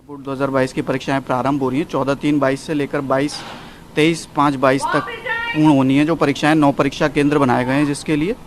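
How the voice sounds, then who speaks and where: A man with an Indian accent, an official giving a formal, halting statement in a noisy location recording. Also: medium pitch, level tone. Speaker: local official. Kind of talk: statement giving figures to the press. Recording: noisy on-location news clip.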